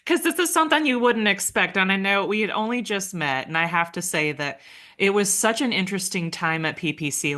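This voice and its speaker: A woman's voice: sits low, fairly bright tone. A woman hosting a podcast, chatty and enthusiastic, laughing often. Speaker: podcast host. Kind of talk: casual interview chat.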